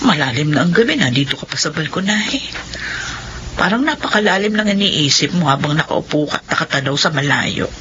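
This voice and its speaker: Bright-voiced concerned mother. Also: low voice, expressive, a little fast. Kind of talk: Filipino radio drama acting. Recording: dull lo-fi radio with noise.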